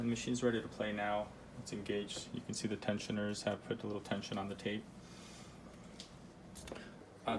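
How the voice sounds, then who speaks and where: A man presenting a casual product demo in a halting, unscripted, explanatory way. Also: fairly low pitch, vocal fry, background noise. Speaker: presenter demonstrating vintage audio gear.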